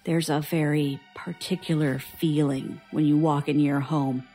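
An actress playing a bitter, guarded young woman, a traumatized survivor, in an audio drama, husky and sardonic. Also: sits low, fairly even intonation, moderate pace, mellow, soft background music, close to an everyday voice. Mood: haunted, subdued. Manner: sardonic, defensive.

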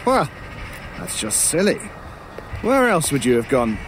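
An actor voicing a knowing, teasing crow in an audio drama, with a dry, sardonic manner. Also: male voice, fairly high pitch, sing-song, a little slow, noisy background. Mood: wry, teasing. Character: wry, unhurried male crow, teasing and knowing.

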